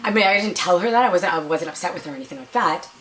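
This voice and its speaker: Raspy young woman vlogger, chatty. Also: lowish voice, lively intonation, very fast, fairly bright tone. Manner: chatty, lots of "like" and quoted dialogue. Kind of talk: casual storytelling to camera.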